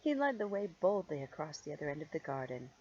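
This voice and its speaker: Older woman, low voice, very animated storybook reading, playful asides, slightly muffled noisy home recording. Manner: expressive, playful, drawn-out emphasis on key words. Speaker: grandmother reading stories to children. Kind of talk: storybook read-aloud with chatty asides.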